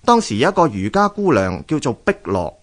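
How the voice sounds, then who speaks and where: A male radio narrator with a Hong Kong Cantonese accent tells scripted tales and history. His medium-pitched voice moves up and down in a lively way. The delivery is expressive and measured, like a storyteller reciting.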